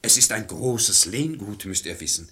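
A man's voice: on the low side, lively intonation, moderate pace, bright, forceful tone. An actor playing a stubborn, irascible, domineering father in a theatrical radio play in verse, declaiming with pompous emphasis. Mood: earnest, persuading.

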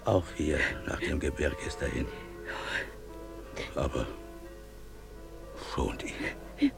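An actor playing a humble animal keeper in a radio drama, halting and deferential as he implores. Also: rumbling low, animated, creaky, music in the background, exaggerated voice. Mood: humble, urgent pleading. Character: tall, strangely dressed animal keeper, humble and pleading. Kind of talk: mid-century German literary radio drama acting.

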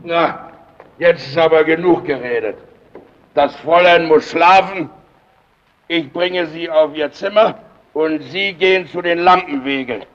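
An actor in a German radio play playing a brisk, practical man in charge at a lighthouse, matter-of-fact and commanding. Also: on the high side, lo-fi sound, natural voice. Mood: brisk, firm.

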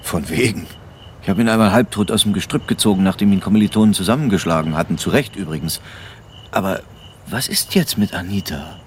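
An actor plays a sardonic detective in a radio play. His voice is low and his manner dry and curt. Faint crickets sound behind him. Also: a little fast, slight background noise, close to an everyday voice. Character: dry, sardonic detective talking of an old acquaintance. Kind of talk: German audio drama acting.

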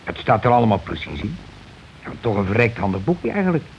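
An actor playing a gruff, bossy, irritable working-class husband in a radio play, with coarse, nagging, impatient talk. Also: male voice, lowish voice, big pitch swings, quick pace. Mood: grumbling, matter-of-fact. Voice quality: coarse colloquial speech. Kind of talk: naturalistic radio play acting. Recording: dull, noisy old radio recording.

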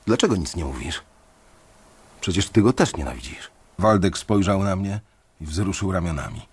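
An actor narrating a radio drama in the first person as a disillusioned young male bank analyst, telling the story in a measured, reflective way. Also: low-pitched, lively intonation, husky, exaggerated voice.

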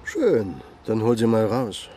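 An actor playing a grumpy, curt, officious baggage clerk in a radio crime drama. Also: male voice, on the low side, sing-song, takes it slowly, dark tone, slight background noise. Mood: unhelpful. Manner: curt, sarcastic.

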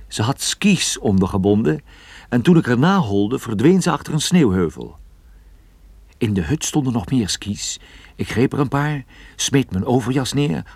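An actor playing a cool private detective narrating his own case in measured radio play style. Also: fairly low pitch, no echo, full character voice. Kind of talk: scripted first-person radio play narration.

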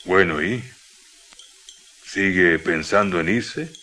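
An actor playing a warm, jovial Chilean miner in his fifties, talking in a folksy, colloquial way for a radio drama. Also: deep voice, full character voice. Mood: wistful, resigned. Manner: folksy, drawn-out colloquial speech.

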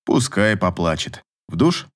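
A man voicing a low, possessive, domineering lover. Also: very animated, a little slow, full character voice. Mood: tender. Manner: insistent, authoritative. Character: possessive, domineering male lover, commanding and evasive. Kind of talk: audiobook romance dialogue.

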